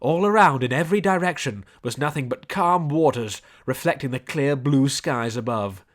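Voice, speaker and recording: A man reading scripted story narration in a measured storybook delivery. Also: mid-range voice, lively intonation. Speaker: audiobook narrator.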